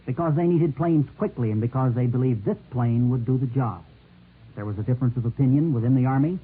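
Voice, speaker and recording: A man with a husky voice reading scripted news narration in a 1940s radio style. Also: mid-range voice. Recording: tinny, narrow-band 1940s radio recording.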